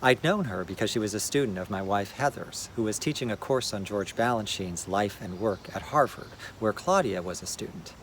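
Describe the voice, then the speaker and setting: A man, a festival director, giving a prepared, measured introduction to a dance piece. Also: on the low side, expressive, a little hiss or hum.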